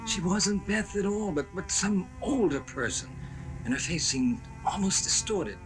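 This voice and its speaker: Earnest young man, played by an actor, very animated, medium pitch, vintage radio play with music behind. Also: unhurried, poor audio. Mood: worried. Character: earnest young male television writer courting his sweetheart. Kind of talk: 1970s amateur radio drama acting.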